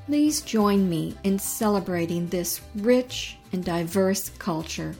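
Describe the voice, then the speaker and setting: A middle-aged woman hosting a program, reading a welcoming scripted introduction with measured delivery. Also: medium pitch, animated, warm tone, soft background music. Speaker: podcast host and interviewer. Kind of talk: scripted intro then interview questions.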